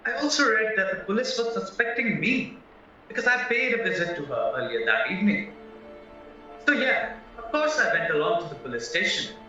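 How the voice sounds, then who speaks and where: A man's voice: high-pitched, sing-song, a little fast, bright, forceful tone, heavily acted. A man playing a naive, chatty, polite young husband under suspicion in an audio play, earnestly explaining himself. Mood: anxious, earnest. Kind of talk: stage-style audio play acting. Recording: very echoey, distant, muffled, music behind.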